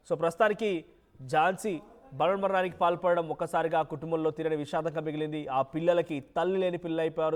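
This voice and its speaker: A man presenting live news as an anchor, speaking emphatically and repeating his points and questions. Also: a little high.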